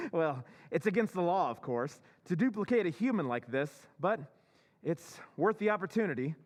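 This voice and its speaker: An actor playing a smug, self-assured scheming husband in an old-time radio drama recreation. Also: male voice, high voice, lively intonation, moderate pace, long reverb tail.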